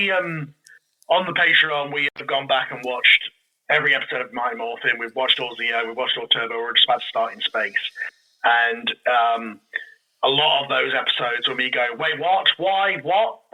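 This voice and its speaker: A man with a very bright, forceful voice in a casual podcast interview. He explains his creative work as a guest, in an animated way, slipping into his own reactions as he goes. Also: mid-range voice, moderate pace, poor audio.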